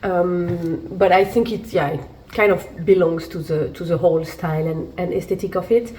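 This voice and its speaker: A woman's voice: fairly low pitch, steady tone, moderate pace, warm tone, a little hiss or hum, low-quality recording. Chatty woman vlogger with a fading cold. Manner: chatty, many fillers and restarts. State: recovering from a cold. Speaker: fashion vlogger. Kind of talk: casual try-on haul review. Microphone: camera mic while seated.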